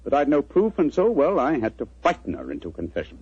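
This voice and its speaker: An actor playing a self-assured English gentleman detective in 1940s radio drama style, clipped and commanding, with a British accent. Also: mid-range voice, wildly swooping pitch, fast talker, breathy edge, full character voice. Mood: assured, explanatory. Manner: clipped, authoritative, explanatory. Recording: dull old 1940s radio recording.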